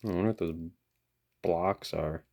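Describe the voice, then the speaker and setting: A man's voice: low-pitched, unhurried, vocal fry, darkish tone. A man giving casual hands-on repair commentary in an offhand, dryly joking way. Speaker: electronics restoration video host.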